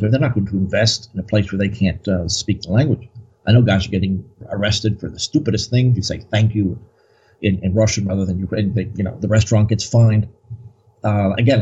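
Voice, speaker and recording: A man's voice: lowish voice, mellow, breathy edge, highs cut off. A man as a guest commentator, talking in a rambling, hesitant way with many fillers. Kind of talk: casual political discussion.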